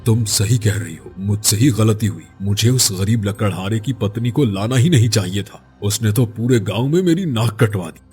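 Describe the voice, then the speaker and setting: A man playing a gullible village chieftain in a cartoon story, speaking with plain, measured authority. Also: on the low side, a little mellow, breathy edge, faint music behind. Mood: concerned, resigned. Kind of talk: Hindi cartoon story voice acting.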